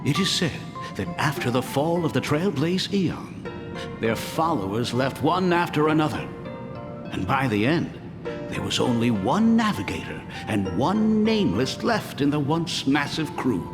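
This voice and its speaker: Slow theatrical storytelling father, echoing hall. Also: on the high side. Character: jovial, theatrical old man, storytelling father figure. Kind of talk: theatrical storytelling in a video game. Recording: long hall reverb, music behind.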